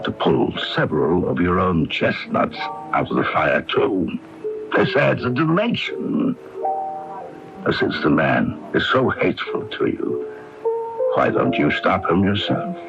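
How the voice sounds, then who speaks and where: An actor playing a suave, menacing mob fixer in a radio mystery drama, measured, formal and insinuating. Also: male voice, low-pitched, huge pitch swings, vocal fry, full character voice. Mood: coolly persuasive. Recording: dull 1980s AM radio recording, faint music.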